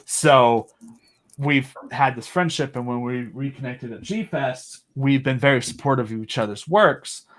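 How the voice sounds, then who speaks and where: A man's voice: medium pitch, a little slow, a bit bright, poor audio. A man talking as a guest in a casual chat, enthusiastic and rambling, with lots of fillers and restarts. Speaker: podcast guest and audio drama creator.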